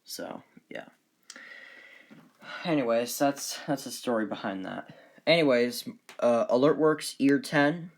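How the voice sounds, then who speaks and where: A man presenting his collection in a casual, unscripted video, speaking haltingly and often trailing off. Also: mid-range voice. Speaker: collector presenting weather radios. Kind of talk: casual unscripted show-and-tell.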